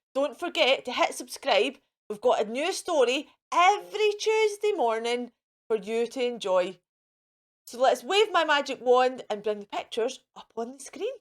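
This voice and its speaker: A woman hosting a children's storytime, reading a picture book in a sing-song way for young listeners. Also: high voice. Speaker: children's storytime host and reader.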